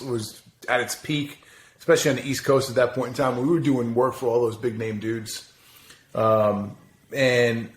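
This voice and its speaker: A man's voice: mid-range voice, a little hiss or hum. A man in his forties, a podcast guest, talking loosely in casual chat, swearing freely and laughing at himself. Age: 40s. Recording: roomy echo.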